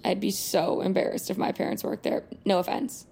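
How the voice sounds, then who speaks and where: A hostile, melodramatic teenage girl in an audio drama. Her voice is mid-pitched and full of vocal fry, with a bright edge and a blunt, scornful delivery. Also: little pitch movement, a little fast. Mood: hostile, probing.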